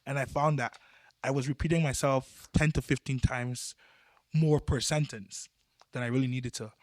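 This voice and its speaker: A young Barbadian man speaks in a mid-range voice, earnest and explanatory, often letting his sentences fade quieter. The sound is close and dry, with no room around it. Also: medium pitch, fairly even intonation, normal speed, dry recording. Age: young adult. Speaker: youth podcast panelist. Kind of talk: casual group discussion.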